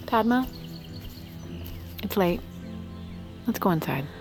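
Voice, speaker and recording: A woman playing a tender, regretful maternal figure in an intimate audio drama, soft and gentle in manner. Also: medium pitch, expressive, slow pace, creaky. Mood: gentle, sad. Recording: music under the voice.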